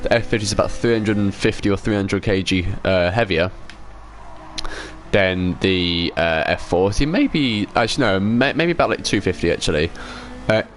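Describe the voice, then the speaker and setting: Male game streamer, lowish voice, casual rambling commentary, self-correcting, conversational. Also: animated, moderate pace, slight background noise. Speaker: livestream host.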